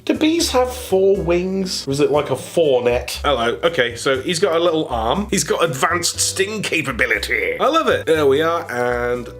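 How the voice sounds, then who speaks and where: Toy channel host, man, bunged-up nasal cold, upbeat jokey unboxing patter, slightly high voice, soft music underneath. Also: big pitch swings, moderate pace, hardly any pauses. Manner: chatty, jokey, self-amused. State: congested, nasally. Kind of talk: casual unboxing chat.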